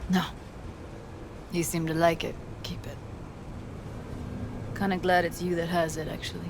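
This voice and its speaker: A woman's voice: lowish voice, flat delivery, measured pace, noisy background. A woman playing a tough, blunt, foul-mouthed young woman, fiery and raw with grief, in a video game. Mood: grieving.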